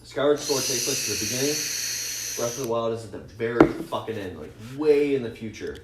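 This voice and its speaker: A man's voice: medium pitch, a little fast. A man co-hosting a podcast, explaining things in a casual, enthusiastic, geeky and sweary ramble. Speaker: podcast co-host. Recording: roomy echo with faint noise.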